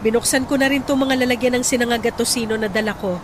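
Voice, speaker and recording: A woman playing a caring, proud middle-aged mother speaking gently in a Tagalog radio drama. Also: a little high, fairly even intonation, fast, very noisy, lightly acted. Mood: gently concerned. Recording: loud music bed behind the voice.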